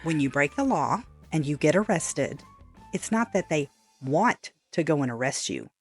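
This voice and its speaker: A woman giving opinion commentary in a measured, matter-of-fact way, correcting point by point. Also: low voice, sing-song, breathy edge, soft background music. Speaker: reaction commentator. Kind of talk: opinion commentary over played clips.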